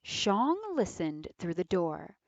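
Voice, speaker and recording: Female read-aloud storyteller: unhurried, dramatic, animated pitch, expressive narration for children. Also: no room sound. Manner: deliberate, dramatic.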